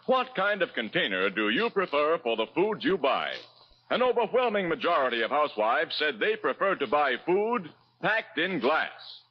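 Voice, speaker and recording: A muffled old radio recording of a male commercial announcer from the 1940s. His slightly high voice rises and falls in a lively way as he delivers measured, emphatic sales copy. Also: breathy edge. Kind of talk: 1940s scripted radio advertising.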